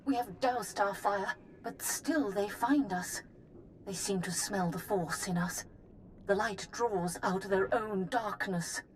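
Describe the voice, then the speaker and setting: Solemn healer, faint noise behind. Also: female voice, mid-range voice. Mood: grave, fearful. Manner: formal, earnest. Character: solemn female Jedi healer, hopeful turning fearful. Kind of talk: recorded journal in a video game. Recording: faint noisy ambience.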